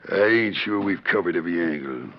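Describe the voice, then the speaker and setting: An actor playing a gruff, bossy, crooked small-town sheriff with a folksy frontier drawl in a 1940s radio western. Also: male voice, low-pitched, lively intonation, a little fast, slight background noise. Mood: uneasy, scheming. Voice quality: gravelly. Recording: muffled vintage 1940s radio recording.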